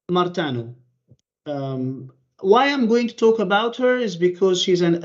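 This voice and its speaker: Halting man presenting over lo-fi call. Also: fairly high pitch, a little mellow. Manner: halting, many fillers and restarts. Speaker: conference presenter. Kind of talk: online conference presentation. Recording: lo-fi online call audio.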